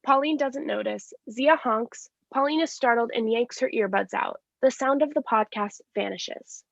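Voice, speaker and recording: A woman's voice: on the high side, moderate pace, creaky, dry recording. A woman reading scripted stage directions in a live staged reading of a play. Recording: dull lo-fi sound.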